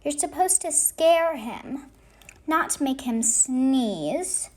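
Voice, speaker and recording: A woman's voice: high voice, lively intonation, extremely slow, exaggerated voice. Woman reading as a stern, commanding monster boss. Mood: scolding. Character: stern male monster boss giving orders.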